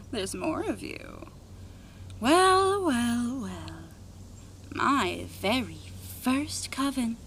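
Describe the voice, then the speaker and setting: A woman playing a mocking beast spirit in an audio drama, with theatrical, drawn-out delivery. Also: sits high, very animated, fairly bright tone, slight background noise, exaggerated voice. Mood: gleeful menace. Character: taunting ancient beast spirit possessing a human.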